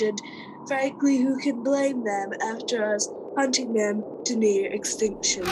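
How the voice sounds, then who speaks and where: A woman's voice: fairly high pitch, reverberant, noisy background. A woman playing a genteel, curious time-travelling reporter in a radio comedy, describing things theatrically with understatement. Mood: brightly composed. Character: breezy, posh-mannered woman time-travelling reporter, blithely curious, then alarmed. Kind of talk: radio comedy scripted narration.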